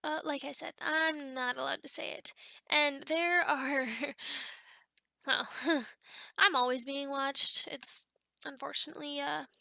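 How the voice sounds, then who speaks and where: A woman's voice: sits high, fairly even intonation, slow, creaky, sharp tone, no room sound, exaggerated voice. A woman voicing a chatty, teasing female sleep paralysis demon in an ASMR roleplay, talking in a casual, rambling, hesitant way. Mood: guarded, confiding. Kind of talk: ASMR roleplay, one-sided conversation.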